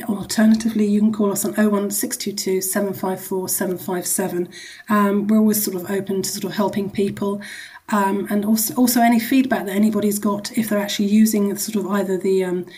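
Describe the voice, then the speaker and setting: A woman with a mid-pitched voice speaks as an interview guest. She explains things conversationally and informally, in the chatty manner of a charity executive on the radio. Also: darkish tone.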